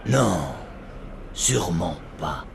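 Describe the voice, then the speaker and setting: Casting: aged alien religious leader, very low creaky voice, grandiose, coldly judging, video game drama. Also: male voice, lively intonation, background noise, heavily acted. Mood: solemn, condemning. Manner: slow, grandiose, measured. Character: solemn old alien high prophet, male, coldly judging. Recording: music behind the dialogue.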